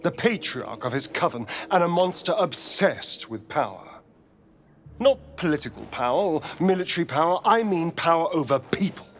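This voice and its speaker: A man's voice: fairly high pitch, sing-song, normal speed, a bit bright. An actor playing a sly, theatrical, centuries-old male vampire spawn in a video game, arch and teasing. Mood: bitter, brooding. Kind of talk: video game character acting.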